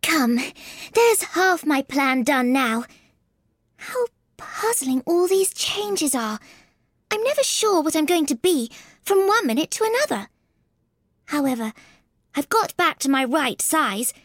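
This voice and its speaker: Storybook little girl, played by a woman: high, lively pitch, polite, puzzled, earnest and a touch prim. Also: slow pace, long pauses, dry recording, clean studio sound, full character voice. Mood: puzzled musing. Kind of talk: dramatized storybook reading.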